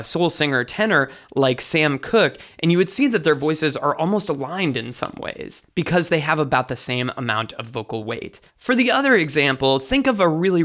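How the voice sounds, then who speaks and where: A man with a light, bright tenor voice, a vocal coach explaining singing technique as an interview guest. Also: on the high side. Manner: enthusiastic teaching, sings short demos.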